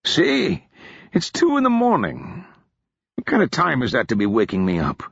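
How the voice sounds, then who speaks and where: A man voicing a drunken, foppish male officer, hungover and carefree, in a husky voice. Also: medium pitch, huge pitch swings, normal speed, fairly bright tone, no room sound, muffled recording, pitched above the performer's own voice, heavily acted. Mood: groggy.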